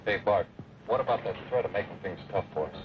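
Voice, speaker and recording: A man's voice: fairly low pitch, quick pace, lightly acted. An actor playing a callous smuggler's henchman in an old radio drama, talking in a casual, slangy way. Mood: callous, reporting. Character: callous gruff smuggler henchman and truck driver. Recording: muffled, noisy old radio recording.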